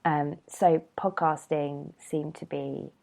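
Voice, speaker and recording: A woman's voice: deep voice, steady tone, slow, gravelly, a little mellow. A woman hosting a casual solo podcast, rambling and chatty with lots of ums, sounding a bit nasal from a cold. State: has a cold, slightly nasal. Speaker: podcast host.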